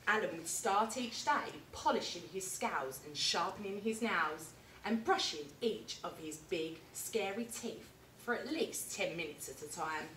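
A young woman teacher reading a picture book aloud with lively, expressive storytelling, in a classroom reading corner recorded from a distance. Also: mid-range voice, a little slow, slight background noise. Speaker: primary school teacher. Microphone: camera at a distance. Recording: echoey room, mic far off.

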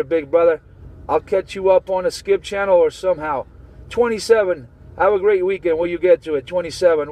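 A man's voice: sits high, warm tone, low-quality recording. A man chatting on a CB radio, slangy and swearing, trading call signs. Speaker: CB radio operator. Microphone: radio transceiver mic. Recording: transmitted over CB radio.

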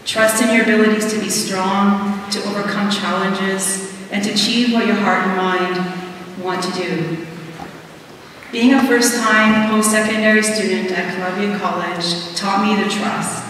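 A young woman reading a prepared graduation speech from a podium in an auditorium, earnest and measured, pausing between words. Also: medium pitch, fairly even intonation, a bit bright, a little hiss or hum. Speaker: student graduation speaker. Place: large auditorium stage at a podium. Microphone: podium microphone heard from a distant camera. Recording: echoey hall from far off.

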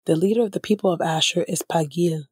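Female scripture reader, husky, measured and formal, dry recording. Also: fairly low pitch, darkish tone, no echo. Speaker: podcast host and scripture reader. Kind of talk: scripted Bible reading.

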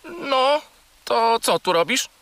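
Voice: mid-range voice, very animated, takes it slowly, a bit bright, no room sound, exaggerated voice. An actor voicing a grumpy, sulky little male furry pet in a children's audio play, with a matter-of-fact manner. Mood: curious, sceptical.

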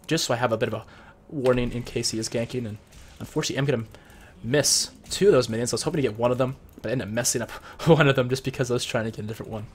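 A young man with a cold, sounding a bit husky and deeper, casually explaining strategy as he plays and comments on a video game at his computer. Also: very fast, slight background noise. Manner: chatty, explains strategy while playing. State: sick with a cold. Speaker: gameplay commentator. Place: home room at his computer.

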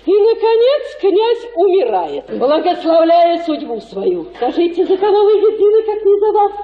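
A woman's voice: very high voice, very animated, moderate pace. An actress playing a scheming, gushing provincial lady and matchmaking mother in a declamatory radio play style. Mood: rapturous. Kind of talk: Russian radio play acting. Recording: echoey room, dull muffled sound.

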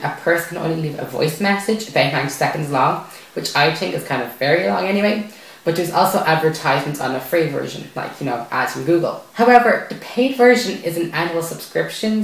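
A man's voice: a little high, creaky. A young man presenting a scripted tech tutorial to camera. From Ireland. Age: young adult. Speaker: tech video host. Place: small bare indoor room at a desk. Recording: very echoey bare room.

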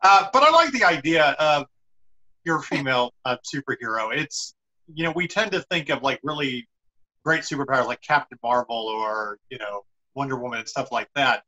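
A man's voice: medium pitch, expressive, normal speed, sharp tone. A middle-aged man hosting an interview, chatting casually over a video call. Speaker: interviewer, show host. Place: indoor room on a video call. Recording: slightly dull video-call audio.

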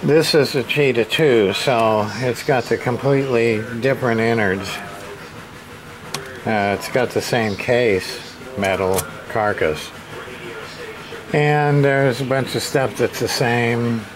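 A man giving a casual hands-on repair demonstration, thinking aloud in a hesitant, halting way as he goes. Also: medium pitch, a crowd in the background, rough recording. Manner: hesitant, halting, muttering to himself. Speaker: hobbyist radio repair presenter. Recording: clear background room noise.

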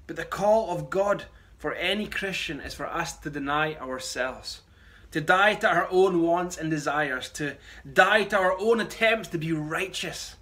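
Bright-voiced man preaching, higher pitched. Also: measured pace. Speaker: pastor. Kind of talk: sermon, conversational address to camera. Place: small bright room at home. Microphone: phone a short distance away.

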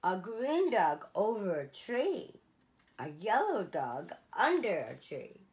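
A woman in her thirties reading a picture book aloud for children, slow and clear, with lively rises on the dogs' exclamations. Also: mid-range voice. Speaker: children's museum storyteller. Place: small indoor room, seated in an armchair.

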